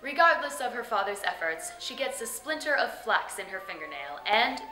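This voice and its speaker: A woman narrates as a comic storyteller, bright and theatrical, with a knowing, tongue-in-cheek delivery. The room adds clear echo, and faint music plays underneath. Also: fairly high pitch, big pitch swings, moderate pace. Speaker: host and storyteller.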